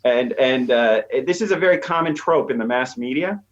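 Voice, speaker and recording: American guy, professor type guest, emphatic and chatty explaining, average pitch, bit dull lo-fi audio. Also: expressive, moderate pace. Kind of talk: conversational explanation in an interview. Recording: dull, slightly lo-fi voice track.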